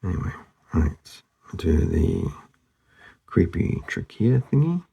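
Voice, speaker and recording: Super croaky guy mumbling along while he doodles, mellow and easygoing, kinda trails off. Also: low voice, very animated, slow. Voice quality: very gravelly, husky. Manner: laid-back, murmuring, trailing off. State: losing his voice, hoarse. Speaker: artist hosting a drawing channel. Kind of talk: casual narration while drawing.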